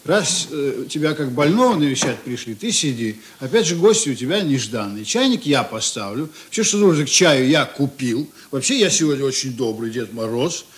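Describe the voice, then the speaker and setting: An actor playing a breezy, bossy, generous writer in a radio play, chatting and interjecting jovially. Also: male voice, medium pitch, lively intonation, normal speed, few pauses, close to an everyday voice. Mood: bustling, generous. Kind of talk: Russian radio play acting.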